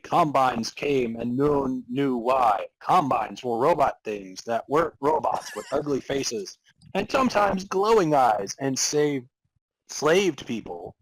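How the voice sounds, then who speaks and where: A man's voice: medium pitch, husky, dry recording, poor audio. A man co-hosting a comedy podcast, alternating mock-dramatic story narration with casual chat. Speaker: podcast co-host.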